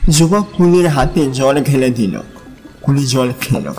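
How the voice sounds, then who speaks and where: A man narrating a literary story as a dramatic audiobook, measured and suspenseful. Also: medium pitch, big pitch swings, creaky, a little mellow. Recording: long reverb on the voice. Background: faint music.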